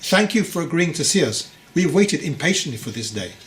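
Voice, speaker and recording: A man's voice: on the high side, sing-song, fairly quick, a bit bright. A man voicing an anxious, deferential father in an audiobook, speaking in a placating, respectful way. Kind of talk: audiobook character voice.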